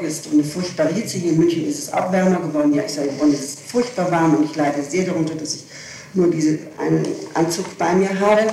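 A woman's voice: fairly low pitch, dark tone, slight background noise. Echoey old tape of a detail-minded woman. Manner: detailed, insistent, halting self-corrections. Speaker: suspect being questioned. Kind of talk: recounting events under interrogation. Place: echoing room, archival tape recording.

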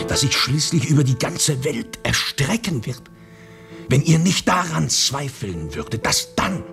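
An actor in a radio play playing an embittered, ruthless Russian revolutionary with an impassioned, declamatory delivery. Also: male voice, creaky, heavily acted. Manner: impassioned, declamatory, swinging from low to loud.

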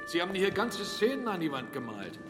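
An actor playing an alert, down-to-earth explorer in a radio play, questioning and inquisitive. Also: male voice, high voice, big pitch swings, brisk, a bit bright. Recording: long echoing reverb, music behind.

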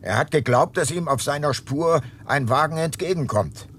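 Wry private detective, noisy ambience. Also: male voice, a little high, animated, normal speed, fairly bright tone. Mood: explaining, intent. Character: dogged, wry male private detective in conversation. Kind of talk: crime radio drama dialogue.

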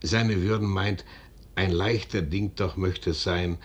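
An actor playing a blunt, reserved colonel sent as envoy in a 1950s radio play, with formal, deliberate stage diction. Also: male voice, low-pitched, fairly even intonation. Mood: measured, probing.

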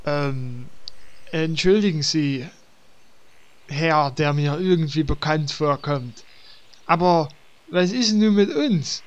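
A man's voice: a little high, expressive, takes it slowly, pauses often, lo-fi sound, a little hiss or hum. A man voicing a muddled, touchy male priest in a fan audio drama. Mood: bewildered. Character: dim-witted male priest, touchy and bewildered.

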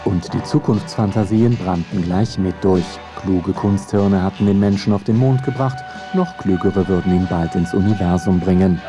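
A man's voice: deep voice, steady tone, normal speed, few pauses. A man reading scripted documentary narration for a radio feature in a wry, ironic manner. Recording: music behind the voice. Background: music.

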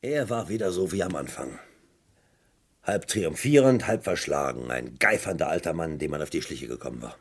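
An actor playing a dogged, insistent British police detective in a German radio crime drama, theatrical and emphatic, with a gravelly voice. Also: male voice, rumbling low, animated, moderate pace, heavily acted. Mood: bitter, reflective.

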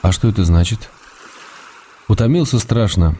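A man's voice: on the low side, animated, moderate pace, dark tone, slight background noise, natural voice. An actor playing an affectionate, slightly weary husband in a radio play, reading out his letters in an intimate, restrained, tender way. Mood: calm, weary. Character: affectionate husband and writer, gentle, weary, fond. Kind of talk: quiet dramatic reading of letters.

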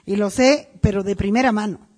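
A woman's voice: mid-range voice, a little fast, a little mellow. A woman moderating a public forum, direct and challenging as she questions her guest and opens the floor.

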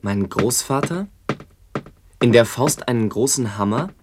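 A man playing a narrator who relives his rural boyhood at the war's end, in a deliberate, descriptive radio play monologue. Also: mid-range voice, lively intonation, heavy background noise, lightly acted. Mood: grave, remembering.

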